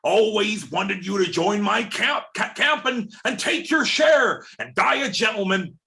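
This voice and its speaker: An actor playing a sly, falsely fatherly old pirate in a radio play, halting and taunting. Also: medium pitch, expressive, creaky, bright, forceful tone, full character voice. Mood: sly, mock-friendly.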